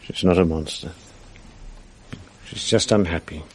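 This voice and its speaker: A man's voice: low voice, a little slow, a little mellow. An actor playing a world-weary, middle-aged upper-class Englishman in a radio drama, with an English accent and a wry, urbane, self-mocking manner. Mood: sombre. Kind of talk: 1980s British radio drama acting, intimate naturalistic dialogue. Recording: faint noise on archive radio recording.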